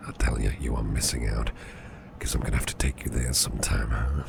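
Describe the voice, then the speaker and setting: Caring boss , male actor: rock-bottom pitch, heavy creak, breathy half-whispers, soothing and tender ASMR roleplay delivery, close mic. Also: full character voice. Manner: soothing, unhurried, repeats reassurances softly. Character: caring, reassuring businessman boss, gently flirtatious. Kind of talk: ASMR roleplay, personal attention. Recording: clearly audible background noise.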